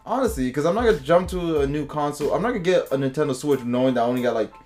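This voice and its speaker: A man's voice: a little high, expressive, very fast, darkish tone, faint music behind. A man co-hosting a podcast in loose, casual chat, swearing freely and acting out little stories. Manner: laid-back, profane, acts out anecdotes. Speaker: podcast co-host.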